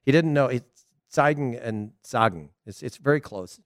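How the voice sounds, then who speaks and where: A man's voice: medium pitch, moderate pace, dry recording. Chatty Southern American man, dry sound. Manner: chatty, animated, laughs often, loose restarts. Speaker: soccer podcast host. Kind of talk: casual solo commentary.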